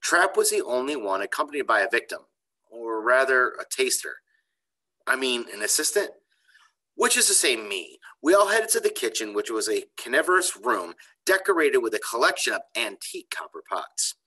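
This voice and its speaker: A middle-aged man reads aloud as an elementary teacher would to children. His voice is bright and forceful, and it rises and falls a lot as he tells the story. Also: mid-range voice, very animated, moderate pace, slightly rough, low-quality recording. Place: bright indoor school room. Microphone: computer webcam on a video call.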